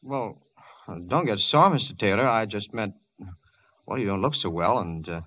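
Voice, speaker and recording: A man's voice: fairly low pitch, lively intonation, moderate pace, muffled recording, natural voice. An actor playing an easygoing, gossipy young milkman who talks in a folksy, slightly nervous way, in a 1940s radio drama.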